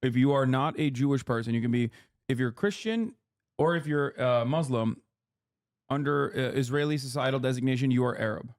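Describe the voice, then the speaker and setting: Man explaining fast in a casual lecture. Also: medium pitch. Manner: rapid, lecturing, many fillers and restarts. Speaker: streamer explaining politics.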